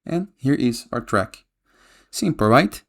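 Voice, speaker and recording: Gravelly-voiced man hosting a tutorial. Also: medium pitch, lively intonation, takes it slowly. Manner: frequently tags instructions with a questioning "okay?". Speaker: tutorial host. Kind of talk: casual screen-recorded software tutorial. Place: voice-over for a screen recording.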